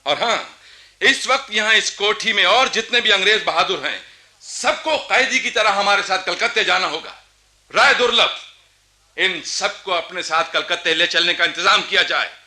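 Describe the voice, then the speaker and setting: An actor playing a proud, embittered young Nawab in a historical radio drama, with imperious, rhetorical courtly speech. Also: male voice, high-pitched, moderate pace, sharp tone. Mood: stern command. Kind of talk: theatrical Hindi radio historical drama acting.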